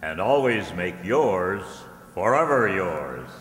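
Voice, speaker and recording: Man as a low-voiced radio announcer sidekick. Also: big pitch swings, slow pace, fairly bright tone, heavily acted. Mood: announcing. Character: wry radio announcer sidekick, playfully mocking. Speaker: show announcer. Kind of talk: old-time radio acting. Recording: echoey hall, music behind.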